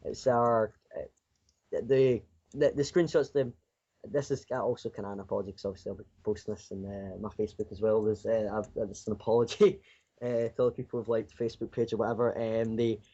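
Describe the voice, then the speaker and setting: A man's voice: lowish voice, level tone, brisk, warm tone, highs cut off. A sixteen-year-old male game developer giving casual, unscripted interview answers, rambling and often repeating his phrases. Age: 16, teenager. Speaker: self-taught indie game developer being interviewed.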